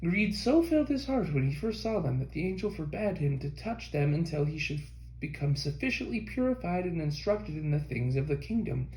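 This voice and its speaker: A man reading a history book aloud as an audiobook narrator, in a measured scripted delivery. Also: a little high, big pitch swings, darkish tone, roomy sound, low-quality recording. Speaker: audiobook reader.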